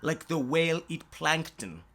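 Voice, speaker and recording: A theatrical storyteller reads a rhyming bedtime tale in a bright but raspy voice. The phrases are drawn out and the pitch swoops widely for drama. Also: big pitch swings, measured pace. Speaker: storyteller, author reading own story.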